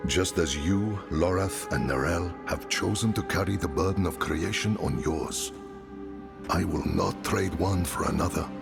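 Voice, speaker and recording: An actor playing a kindly, gruff village carpenter and father in a game cinematic, speaking in a thoughtful, halting, warm way. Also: low-pitched. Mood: solemn. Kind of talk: video game cinematic acting. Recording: music behind the voice.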